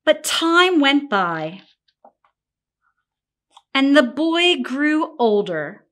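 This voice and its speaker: A woman narrating a children's picture book in an expressive, deliberate way. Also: high-pitched. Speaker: storybook reader. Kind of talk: scripted children's story narration.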